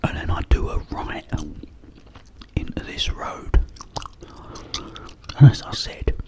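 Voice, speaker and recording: A man telling a personal anecdote in a hushed whisper throughout. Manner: whispering throughout, unhurried, drawn-out storytelling. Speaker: storyteller.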